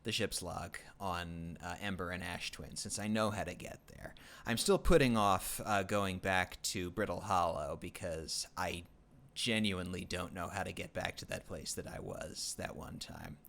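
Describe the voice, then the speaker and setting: Low-voiced, halting man hosting a stream. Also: creaky, a bit bright. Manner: rambling, halting, many fillers and restarts; dry, self-deprecating. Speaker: livestream host. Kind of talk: casual gaming stream chat.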